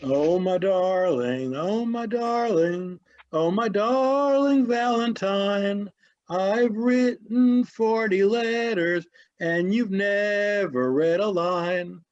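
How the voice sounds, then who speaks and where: A man's voice: high voice, very slow. A man performer reciting rhyming verse in readers theatre. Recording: dull lo-fi recording.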